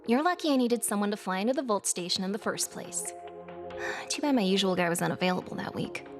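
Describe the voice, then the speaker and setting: A woman's voice: medium pitch, lively intonation, fairly quick, over music, natural voice. An actress voicing a young hacker revolutionary in a video game cutscene, confiding and dryly sardonic, with swearing in her speech.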